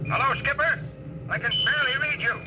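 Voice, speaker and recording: A man's voice: extremely high pitch, steady tone, brisk, muffled recording. An actor playing an eager, friendly male radio operator back on Earth, in 1950s radio drama style. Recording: very noisy vintage radio recording.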